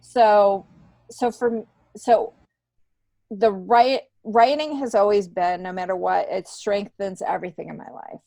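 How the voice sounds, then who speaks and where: A woman in her fifties talks casually as an interview guest, telling her stories with emphasis and animation. Her voice sits at an ordinary middle pitch, and the sound is dry with no room around it. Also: measured pace, gravelly, warm tone, no room sound. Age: 50s. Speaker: podcast guest, comedian and writer.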